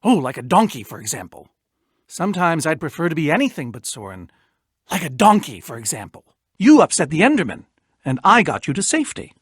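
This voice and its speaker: An actor playing an eccentric, lonely, reclusive adventurer in a video game, theatrical and wordy, with dry self-pity and wistfulness. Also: male voice, fairly high pitch, huge pitch swings, a little fast, breathy edge, no echo, heavily acted. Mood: weary, defensive. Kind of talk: animated video game character acting.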